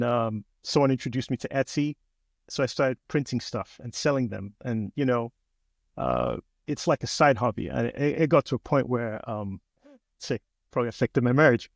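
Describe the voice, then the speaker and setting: A man from Nigeria, in his forties, tells his story as a podcast guest. His voice has a gravelly edge and a middle pitch. He talks in a relaxed, chatty way, recorded close and dry. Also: no room sound. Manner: relaxed, chatty, frequent "um" and "you know". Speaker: guest, business owner. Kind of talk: casual interview storytelling.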